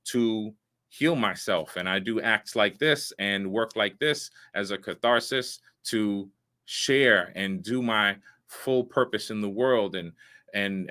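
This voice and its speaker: Earnest male host in his forties, lowish voice with a sharp bright edge, measured delivery full of pauses. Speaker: show host, veteran. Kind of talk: hosting a live online talk show.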